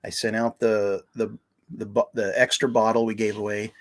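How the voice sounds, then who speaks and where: A man's voice: lowish voice, little pitch movement, moderate pace. A man co-hosting a casual livestream, explaining the products plainly and teasing his co-host with dry jokes.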